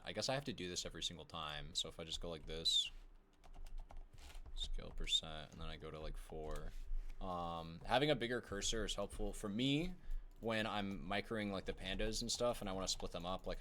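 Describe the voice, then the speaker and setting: A man's voice: low-pitched, a bit bright, slight background noise. A young man talking casually while playing a game, rambling and apologetic, with lots of fillers and restarts and a slightly whiny tone. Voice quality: self-described whiny voice. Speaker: gaming video creator.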